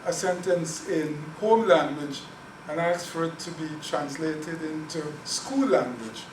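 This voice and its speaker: A man's voice: a little high, measured pace. Earnest man in an echoey lecture room. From Guyana. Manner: earnest, repeats words while thinking. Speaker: guest speaker on Caribbean languages. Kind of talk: academic talk and Q&A. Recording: echoey room, distant mic, noisy.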